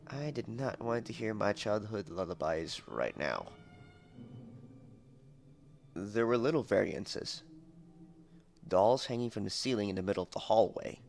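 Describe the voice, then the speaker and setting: A young man with a low, creaky voice narrates an audiobook in a dramatic scripted style. The amateur headset recording carries faint background noise.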